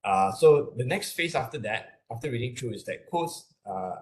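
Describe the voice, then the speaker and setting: A man with a Malaysian accent giving an explanatory webinar lecture, speaking haltingly and often repeating his words as he works through the material. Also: medium pitch, animated, slight background noise. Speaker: academic lecturer.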